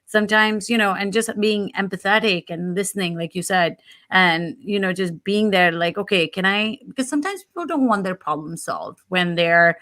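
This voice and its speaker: A woman's voice: medium pitch, moderate pace, fairly bright tone. A woman talking conversationally as a talk show guest, giving advice in an earnest, empathetic and emphatic way.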